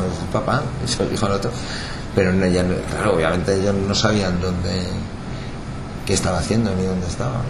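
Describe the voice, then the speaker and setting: Middle-aged man, deep voice, halting interview answers, echoey noisy room. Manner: halting, restarts words, frequent filler "o sea". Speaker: interviewee, retired intelligence agent. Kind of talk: documentary interview. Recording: echoey room with background noise.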